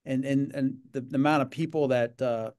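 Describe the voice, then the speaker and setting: A man's voice: mid-range voice, steady tone, moderate pace, a little mellow, smooth. A middle-aged man speaking in a casual video-call interview from a home office, rambling with many fillers and repeated words. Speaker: gaming association leader. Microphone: video call webcam.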